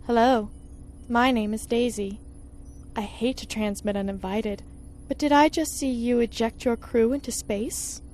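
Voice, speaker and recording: A woman's voice: fairly high pitch, measured pace, a little mellow, slight background noise, close to an everyday voice. An actress playing a polite, coy and murderous female ship's artificial intelligence in a 1950s-style space radio serial. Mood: polite, intrigued. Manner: courteous, coy.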